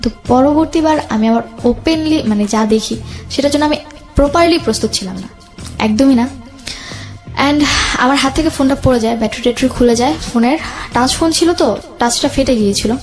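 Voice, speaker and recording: A young woman recounting her own life as an interview guest, in a halting, hesitant way. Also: high voice, darkish tone. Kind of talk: personal storytelling in interview. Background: background music.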